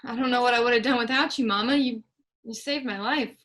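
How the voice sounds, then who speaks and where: A woman's voice: on the high side, fast, fairly bright tone, natural voice. A woman playing a devoted, practical grown daughter of a farm family in a staged play reading. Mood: tender.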